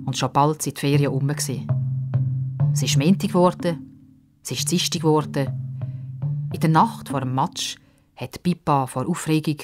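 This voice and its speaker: A woman's voice: deep voice, unhurried, long pauses, music in the background. A woman with a Swiss accent narrating a children's story expressively.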